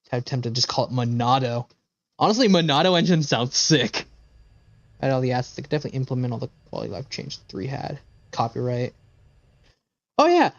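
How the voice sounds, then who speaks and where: A man's voice: mid-range voice, no echo. A male game streamer with a mid-range voice talks casually to his viewers. He rambles, opinionated and sweary. The sound is dry and a little dull.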